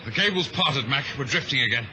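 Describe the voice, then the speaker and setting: An actor playing a brisk, dutiful ship's officer who reports urgently, in an old-time radio drama. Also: male voice, medium pitch, lively intonation, bright, forceful tone. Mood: tense, dutiful. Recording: noisy vintage radio drama recording.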